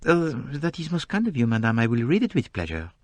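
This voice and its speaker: A man's voice: medium pitch, huge pitch swings, brisk, a little mellow, no room sound, voice pushed up. A man voicing a small, polite, fussy male detective who speaks courtly, with French phrases.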